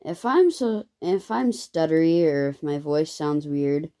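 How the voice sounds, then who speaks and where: An eleven-year-old girl telling a casual story to viewers in a halting, rambling way, her voice occasionally squeaky. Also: expressive, mellow. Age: child, eleven. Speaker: first-time video creator.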